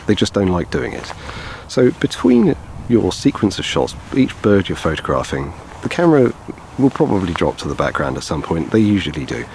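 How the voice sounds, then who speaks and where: A man's voice: deep voice, a little mellow. A man in his thirties explaining photography technique on an outdoor walk, sounding a bit tired, gesturing as he talks. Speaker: wildlife photographer. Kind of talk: outdoor vlog tutorial. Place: outdoors on a grassy path by wetland. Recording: outdoor noise behind the voice. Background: chainsaws and traffic in the distance.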